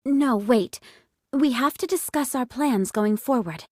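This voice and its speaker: An actress playing an earnest young android squad leader in a game drama, strained but determined, speaking firmly and insistently. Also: female voice, high voice, expressive, measured pace, darkish tone, no room sound. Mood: pleading.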